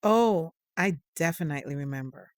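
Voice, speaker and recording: A woman's voice: sits low, lively intonation, moderate pace, dry recording, clean studio sound. An actress playing a caring mother of a teenager in an audio drama, affectionately teasing and nudging. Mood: playful, teasing.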